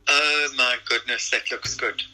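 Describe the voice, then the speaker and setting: A woman's voice: very deep voice, fairly even intonation, measured pace, over loud music, rough recording. A woman, the host's mother, chatting affectionately in a casual family moment. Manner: warm, affectionate, teasing.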